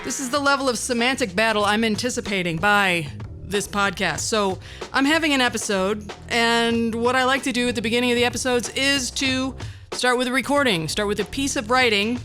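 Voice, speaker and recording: A woman's voice: on the high side, brisk, sharp tone, soft background music. A woman hosting a podcast solo, talking casually in a wry, self-deprecating way. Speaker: podcast host.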